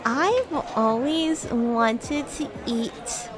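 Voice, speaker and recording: Playful, high-pitched, animated woman streamer. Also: a crowd in the background. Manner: giggly, playful, hesitant with drawn-out words. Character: bratty, playful young female demon classmate. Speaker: VTuber streamer. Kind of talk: playful in-character roleplay livestream chat.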